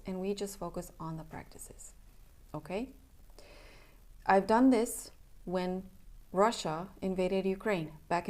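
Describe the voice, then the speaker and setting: A woman's voice: lowish voice, darkish tone. A young adult woman from Romania, a native Romanian speaker, talking as a somatic coach in an earnest, plainspoken casual announcement. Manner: earnest, plainspoken, checks in with "okay?".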